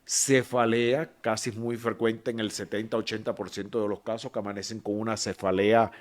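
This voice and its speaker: A man's voice: lowish voice, flat delivery, moderate pace. A man with a Cuban accent, a physician guest on a podcast, explaining patiently with many fillers and restarts. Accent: Cuban Spanish. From Cuba. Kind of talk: explanatory medical podcast talk.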